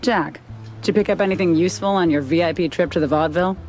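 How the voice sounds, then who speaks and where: Woman as a low-voiced, savvy noir mouse dame. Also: fast talker, a little mellow, faint music behind, natural voice. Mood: knowing, teasing. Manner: wry, knowing. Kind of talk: noir game dialogue.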